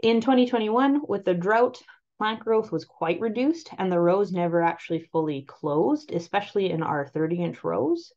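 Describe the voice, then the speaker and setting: A woman with a Canadian accent presenting on-farm crop trial results over a slide presentation, explaining them clearly and steadily. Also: mid-range voice, animated, normal speed, darkish tone. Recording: slightly muffled voice-over.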